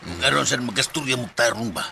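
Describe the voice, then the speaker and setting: A man's voice: mid-range voice, expressive, fast talker, vocal fry, bright, forceful tone, slight background noise, exaggerated voice. A Filipino man with a Cebuano accent acting a teasing, pushy best buddy who banters and nags in a Bisaya radio drama.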